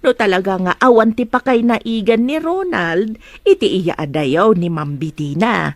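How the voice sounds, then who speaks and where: A woman playing an excitable, gossiping household helper in a melodrama, chatty, exclamatory and insinuating. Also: medium pitch, a little slow, no room sound. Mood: gossipy. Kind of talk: Ilocano melodrama acting.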